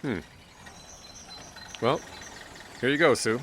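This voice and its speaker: An actor playing a steady small-town sheriff with calm, easygoing authority. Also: male voice, mid-range voice, slow pace, a little hiss or hum. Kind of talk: audio drama acting.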